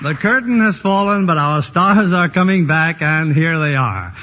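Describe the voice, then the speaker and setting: Animated male radio host, higher-pitched. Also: moderate pace, a loud crowd, heavy background noise. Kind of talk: scripted narration and hosting. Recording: dull old radio broadcast. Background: music.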